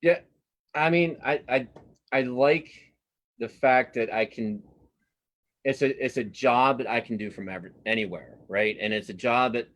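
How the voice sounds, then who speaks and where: A man giving a casual interview as a cartoonist guest, speaking haltingly and modestly, often repeating words as he thinks. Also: mid-range voice, a bit bright.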